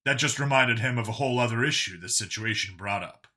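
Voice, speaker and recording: A man narrates a story as an audiobook reader. His voice is very bright and forceful, sitting at an average male pitch. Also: fast talker.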